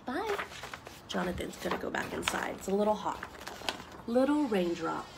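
A young woman reading picture books aloud to children, expressive and explaining things simply as she goes. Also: medium pitch, moderate pace, gravelly, background noise. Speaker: story time reader for children. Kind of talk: children's picture book read-aloud with asides. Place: outdoors on a wooden deck among trees.

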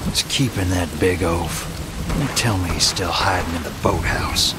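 A man's voice: low voice, moderate pace, lightly acted. An actor playing a cool Western hired gunman in a video game, dry and sarcastic in delivery. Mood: dry, sardonic. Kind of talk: dramatic video game cutscene acting. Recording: rain and thunder noise behind.

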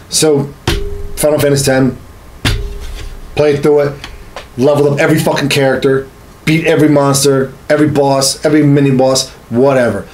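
Male podcast host, 30s, mid-pitched, casual and emphatic, profane, slightly noisy room recording. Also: darkish tone. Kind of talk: casual gaming chat. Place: small home studio room, indoors.

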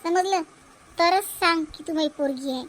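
Female actor as scheming, wounded-pride mother, cartoon drama, high pitch, emphatic repetition, Varhadi Marathi accent. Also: normal speed, a bit bright, slight background noise. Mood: vengeful, goading. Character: vengeful, scheming older mother inciting her daughter. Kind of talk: cartoon family drama voice acting.

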